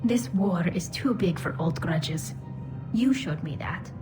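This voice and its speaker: An actress playing a young female alien envoy in a video game, speaking in a measured, reflective way in short phrases. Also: lowish voice. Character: young female alien envoy, earnest, forgiving, quietly proud. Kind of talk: video game cutscene acting. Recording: game music behind dialogue.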